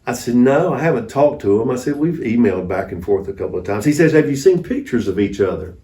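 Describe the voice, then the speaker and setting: A man's voice: mid-range voice, big pitch swings, fast, dark tone. A man telling mission stories conversationally as an interview guest, in a folksy, anecdotal manner. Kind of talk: conversational storytelling. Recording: echoey room, distant mic.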